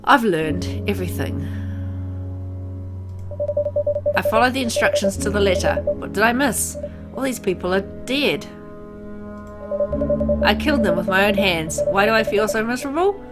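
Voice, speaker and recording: A woman's voice: mid-range voice, lively intonation, close to an everyday voice. A woman voicing a grieving, vengeful father haunted by guilt, reading a visual novel aloud in a dramatic, brooding manner. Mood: remorseful. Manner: dramatic, brooding, deliberate phrasing. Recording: music under the voice.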